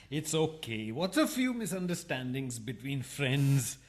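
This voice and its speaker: A man's voice: medium pitch, animated, slow pace. An actor playing a polite, cheerful, bumbling married man in a farcical radio play, chirpy and ingratiating. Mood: reassuring.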